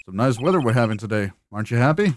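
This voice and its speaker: A man voicing a dry, wary young male lawyer in a video game, speaking in a low-key, wry way. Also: very animated, rapid-fire, soft background music, lightly acted. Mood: cautious. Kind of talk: fan voice-over of a video game.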